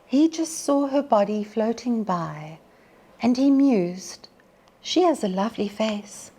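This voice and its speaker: Slow, theatrical woman storyteller. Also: fairly high pitch, lively intonation. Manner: theatrical, measured, drops to hushed breathy tones. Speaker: teacher reading poetry to pupils. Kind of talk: dramatic poetry recitation and storytelling.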